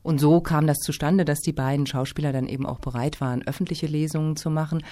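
A woman from Hesse, a literature festival organizer, answering interview questions in a lively, engaged way with many fillers. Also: sits low, fast talker. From Hesse, Germany.

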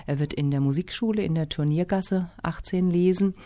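A woman from Hesse, a literature festival organizer, answering interview questions in a lively, engaged way with many fillers. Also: on the low side, moderate pace. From Hesse, Germany.

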